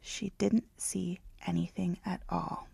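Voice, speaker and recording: Woman audiobook reader for romance fiction. Creaky, mid-pitched, hushed at phrase ends, very dry sound. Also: little pitch movement, takes it slowly, dry recording. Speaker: author reading own audiobook. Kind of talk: scripted narration, romance audiobook.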